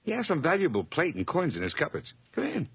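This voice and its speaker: Jittery overpolite servant w a guilty secret, old radio horror, husky n sharp, stammers. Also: male voice, fairly high pitch, wildly swooping pitch, fast talker, heavily acted. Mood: evasive, polite. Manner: stammering, effusive, theatrical. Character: nervous, over-polite hired man hiding guilt. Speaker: actor and narrator in a radio drama.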